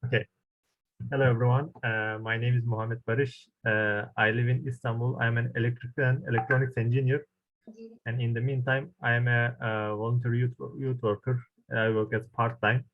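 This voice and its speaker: A man introducing himself on a video call, earnest and a bit formal, pausing as he searches for words. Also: mid-range voice, level tone. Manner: earnest, slightly formal, halting. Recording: thin phone-like call audio, dry.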